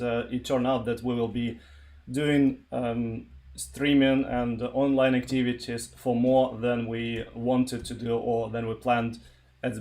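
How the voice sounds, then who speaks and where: A man from eastern Ukraine, a native Russian speaker with a Russian accent, talking informally and haltingly on a tech livestream. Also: mid-range voice, darkish tone. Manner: halting, searching for words. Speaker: live streamer, software developer.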